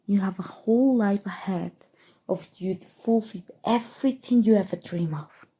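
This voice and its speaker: Woman acting a breathy, mellow, possessive evil sorceress. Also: mid-range voice, close to an everyday voice. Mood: tender, coaxing. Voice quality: breathy, soft, often dropping to whispers. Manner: slow, soothing, deliberate, sinister affection. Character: possessive evil sorceress, softly obsessive and tender. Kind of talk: ASMR roleplay, intimate scripted monologue.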